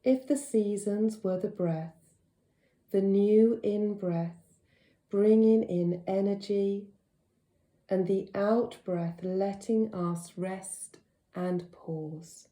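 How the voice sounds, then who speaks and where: A woman with a very soft, dark, mellow voice guides a deep relaxation session. She speaks slowly and gently, leaving unhurried pauses between short phrases. The sound is roomy, with a noticeable echo. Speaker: yoga nidra teacher.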